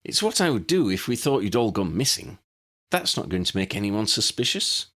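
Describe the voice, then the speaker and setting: A man's voice: fairly low pitch, big pitch swings, moderate pace, fairly bright tone, voice pushed up. A man voicing an earnest, sensible young woman witch with a precise, insistent manner in an audiobook. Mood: corrective.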